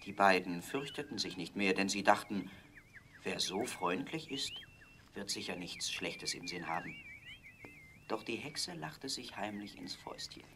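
A man narrating a scripted fairy tale for children in a measured, dramatic storytelling manner. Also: fairly low pitch, lively intonation, noisy background.

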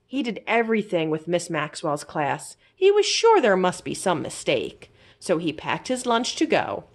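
A woman reading a children's story aloud as a library storyteller, in an expressive, simple storytelling manner. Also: fairly low pitch, a little slow.

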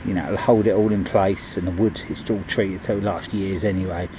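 A man's voice: deep voice, fairly even intonation, brisk, background noise. Chatty man filming outdoors. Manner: chatty, many ums and restarts. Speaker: handyman. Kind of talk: casual on-the-job narration. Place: outdoors at a driveway gate. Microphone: body-worn action camera.

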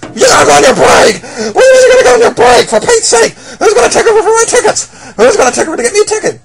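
King Zorg, a man yelling as a shrill furious king. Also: huge pitch swings, fast, vocal fry, heavy background noise, exaggerated voice. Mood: exasperated. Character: furious demanding king customer, male. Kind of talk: improvised comedy skit.

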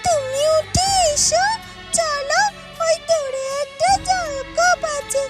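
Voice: very high-pitched, slow. A performer voicing a small, eager, caring female talking bird in a children's fairytale cartoon, speaking in a childlike, earnest way. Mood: kind, helpful. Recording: loud music behind the voice.

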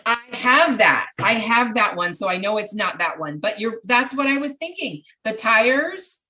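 A woman's voice: a little high, lively intonation, measured pace, pushed and bright. A middle-aged woman presenting an informal online workshop from home, explaining things step by step with examples. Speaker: presenter answering participants' questions. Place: home craft room, indoors. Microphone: computer mic on a video call.

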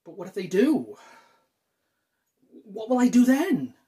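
Man acting anxious, halting thirty-year-old, widely swinging pitch. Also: expressive, husky, exaggerated voice. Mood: panicky, torn. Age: 30s. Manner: halting, nervous, sighs and stammers. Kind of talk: dramatic monologue, self-taped acting.

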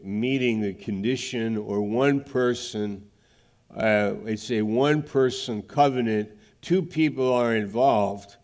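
A man's voice: fairly low pitch, darkish tone. A middle-aged male pastor preaching a sermon in a large church, explaining points carefully and often restarting a phrase. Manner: explanatory, restarts mid-phrase, gestures broadly. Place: large church sanctuary, indoors. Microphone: headset mic.

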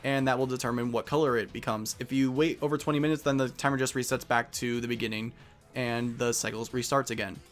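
A young man giving casual, animated commentary as the host of a video game let's play. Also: mid-range voice, fast, soft background music.

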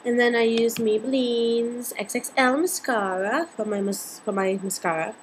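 A woman in her twenties with a Singaporean accent and a slightly husky voice, chatting playfully through a casual makeup tutorial. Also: on the high side, normal speed, slight background noise. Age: 20s. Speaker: beauty vlogger. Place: indoors, small home room.

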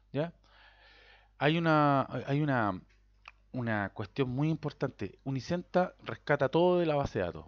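Chilean man with a dull lo-fi sound. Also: medium pitch. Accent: Chilean Spanish. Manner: rambling, repeats words, tags "¿ya?" constantly. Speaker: software tutor. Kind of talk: casual screen-recorded tutorial.

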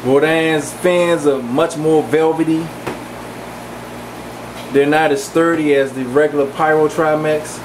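A man giving a relaxed, rambling walk-through of his home aquarium room, speaking casually with many fillers into a handheld camera. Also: a little high, a little slow, pauses often. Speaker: fish breeder giving a tour. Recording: camcorder audio with fan hum.

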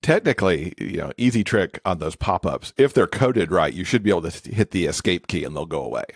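A man's voice: sits low, expressive, a little fast, husky, no room sound, studio quality. A man speaking as an expert podcast guest, explaining things in casual interview talk.

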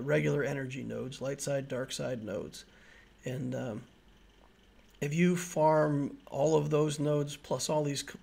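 This is a man in his forties with a slightly high voice that is husky and full of fry. He talks like a gaming host presenting a tutorial, in a conversational, instructional way. He explains patiently and circles back to points to make them stick. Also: a little mellow.

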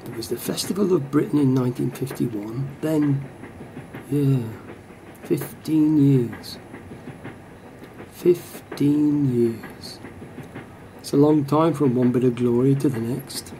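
Guy playing a middle-aged footie fan thinking out loud in a radio play, wistful, bit of background noise. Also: mid-range voice, slow, pauses often, rough recording. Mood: musing. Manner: reflective, talking to himself. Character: wistful middle-aged male lower-league football supporter, musing inwardly.

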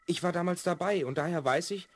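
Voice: medium pitch, fairly quick, lightly acted. A performer playing an earnest twelve-year-old boy time traveller in a children's radio play. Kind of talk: 1970s German children's radio play acting.

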